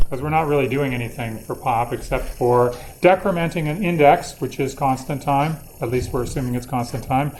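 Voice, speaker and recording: A man's voice: mid-range voice, darkish tone, slight background noise, low-quality recording. A man lecturing informally to a class, thinking aloud and posing questions with playful, wry asides. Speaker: university lecturer.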